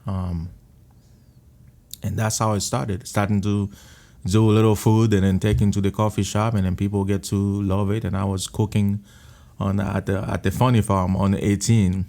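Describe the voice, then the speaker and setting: A man from Haiti with a Haitian accent shares his life story in an interview at a restaurant. His voice is low and warm with a gravelly edge. He speaks haltingly and earnestly, circling back and repeating words. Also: flat delivery. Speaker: restaurant owner and missionary.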